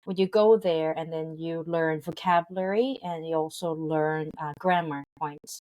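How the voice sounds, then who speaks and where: A woman's voice: deep voice, fairly even intonation, moderate pace, darkish tone, poor audio. A woman, a company founder, talking in an interview, explaining earnestly with many fillers and restarts. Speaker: founder of a language game company, guest. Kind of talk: interview conversation.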